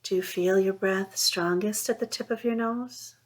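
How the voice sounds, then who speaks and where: A woman leading a guided mindfulness meditation, speaking in a soothing, unhurried way with long pauses between phrases. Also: mid-range voice, fairly even intonation. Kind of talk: guided meditation, gentle instruction.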